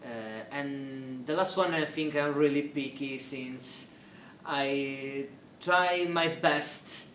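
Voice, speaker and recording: A man's voice: medium pitch, steady tone, slow pace, a bit bright. A young Italian man with an Italian accent, speaking English as a second language and answering interview questions with hesitant fillers. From Italy. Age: young adult. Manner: hesitant, many fillers and restarts, non-native phrasing. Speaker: interviewee, PhD researcher. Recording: roomy, distant mic, faint noise.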